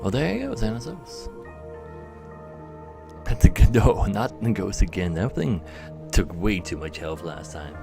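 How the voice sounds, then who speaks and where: Low, gravelly male game streamer. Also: lively intonation, unhurried, pauses often, music in the background. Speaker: live streamer. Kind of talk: gameplay commentary, reading game text aloud. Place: indoors, livestream with game music behind.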